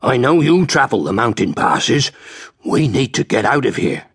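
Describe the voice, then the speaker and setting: A man's voice: medium pitch, very animated, normal speed, fairly bright tone, breathy edge, exaggerated voice. An actor playing a fat-faced, opinionated man at an inn. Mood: urgent. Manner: blustering, opinionated.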